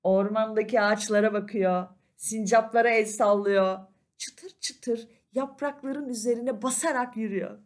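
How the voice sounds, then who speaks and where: A woman storyteller narrating a children's fairy tale in an expressive, conversational way. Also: a little high, moderate pace, few pauses. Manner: animated, conversational, addresses listeners. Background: faint music.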